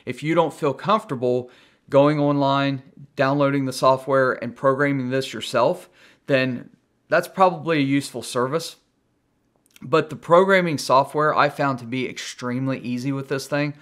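A man's voice: medium pitch, normal speed, fairly bright tone. A middle-aged man with an American accent gives a casual, conversational product review to camera as the host. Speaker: gadget reviewer and video host.

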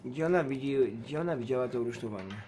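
A man's voice: mid-range voice, expressive, fast, background noise. A man doing casual gameplay commentary as a streamer, muttering to himself and swearing freely. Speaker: game streamer.

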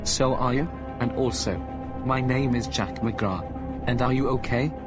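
A man voicing a polite, apologetic young man who is quick to get indignant, in an amateur voice drama, with music behind. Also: medium pitch, close to an everyday voice. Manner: earnest, apologetic, later indignant.

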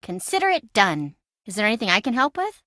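A woman's voice: medium pitch, big pitch swings, fairly quick, bright, forceful tone, dry recording, clean studio sound. An actress voicing a chatty, impulsive young woman in a video game, breezy, wry and self-dramatizing. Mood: breezy, dramatic. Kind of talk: video game voice acting, conversational.

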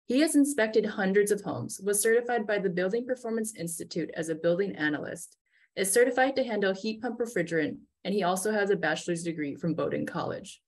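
A woman's voice: mid-range voice, a little fast. A woman co-hosting a webinar over a video call, steadily reading sponsor thanks and panelist introductions. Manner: steady scripted read. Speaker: webinar co-host.

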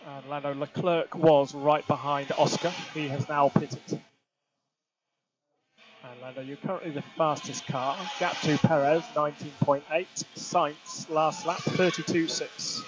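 A man's voice: a little high, fairly even intonation, unhurried, lo-fi sound. A man working as a race engineer, giving clipped, procedural instructions to a driver over a team radio link. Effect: team radio filter. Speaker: Formula One race engineer. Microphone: radio headset. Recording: noisy motorsport radio link.

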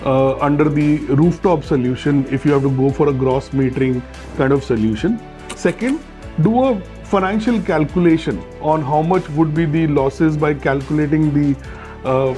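A man's voice: fairly high pitch, a little mellow. A man in his thirties with an Indian accent, giving emphatic, step-by-step business advice as a presenter. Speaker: presenter, solar energy consultant. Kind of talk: explanatory business advice to camera. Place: small bright office, indoors, at a desk. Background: background music.

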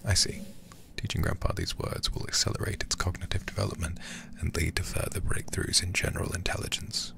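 A man streaming a game in ASMR style, reading aloud and commenting in a hushed, intimate way close to the microphone. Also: low-pitched, flat delivery, background noise. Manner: hushed, slow and intimate delivery with breathy whispers.

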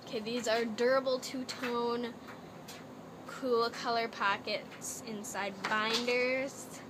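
A woman's voice: fairly high pitch, fairly even intonation, slow. A young woman from Canada, chatting and rambling through a haul video in a playful put-on accent, recorded on a laptop webcam in her bedroom. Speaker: vlogger. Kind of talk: casual haul video chat. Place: small cluttered bedroom, indoors. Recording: noisy laptop webcam audio.

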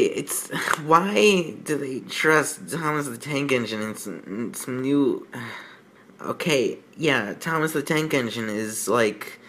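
Slow-talking, exasperated man reading fan fiction. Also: medium pitch, fairly bright tone. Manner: exasperated, incredulous, halting with stammered repeats. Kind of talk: mocking read-aloud with off-the-cuff commentary.

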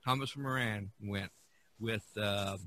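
A man's voice: lowish voice, takes it slowly, bright, forceful tone. A man hosting a podcast, telling stories about art history in a casual, enthusiastic way, often stumbling and restarting. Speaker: podcast host.

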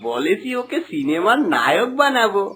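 Man playing animated, sly village swindler. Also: sits high, normal speed, a little hiss or hum, exaggerated voice. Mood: dismissive. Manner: animated, coaxing and boastful. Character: sly, greedy adult village man who swindles people. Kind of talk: cartoon moral-story dialogue. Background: background music.

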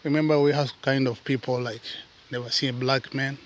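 A man, not a native English speaker, talking casually to his viewers while walking outdoors and filming with his phone, often calling them guys and dropping to soft asides. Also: mid-range voice, fairly even intonation, a little fast, slight background noise. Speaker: vlogger. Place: outdoors on a suburban footpath.

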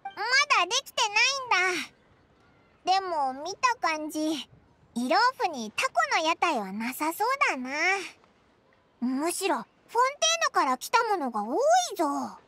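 Casting: tiny cheeky game sidekick fairy, extremely high and bright, swooping childlike delivery. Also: female voice, very animated, unhurried, a little hiss or hum, exaggerated voice. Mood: curious, chirpy. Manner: blunt, boyish, childlike phrasing. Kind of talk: video game voice acting.

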